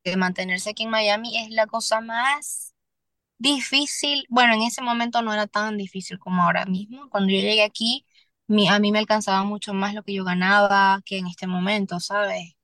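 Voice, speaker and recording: A young Venezuelan woman, a singer-songwriter, speaking candidly as a podcast guest, earnest and halting with restarts. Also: mid-range voice, fairly even intonation, bright, forceful tone, no echo. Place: Miami.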